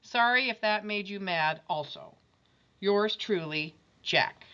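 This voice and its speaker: A woman reading aloud the apology notes of a grudging young schoolboy, voicing them a little louder than her narration. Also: medium pitch, takes it slowly, smooth, dull audio. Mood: earnest.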